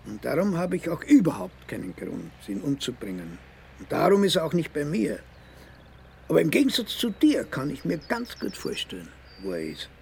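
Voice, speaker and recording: A man doing a gravelly sneering village thug. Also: a little high, wildly swooping pitch, normal speed, a little mellow, a little hiss or hum, voice pushed up, heavily acted. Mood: mocking menace. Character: menacing mocking male village thug.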